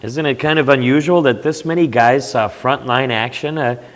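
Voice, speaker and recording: An older veteran with a Norwegian-tinged accent, speaking earnestly with some hesitation. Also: male voice, medium pitch, moderate pace. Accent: Norwegian-tinged Upper Midwest. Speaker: veteran panelist. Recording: reverberant community TV studio.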